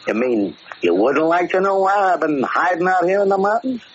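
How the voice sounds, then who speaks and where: An actor playing a cocky, tough-talking gangster in a slangy 1940s radio drama style. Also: male voice, sits high, very animated, moderate pace. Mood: cocky, easygoing. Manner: slangy, brash. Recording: muffled, hissy 1940s radio recording.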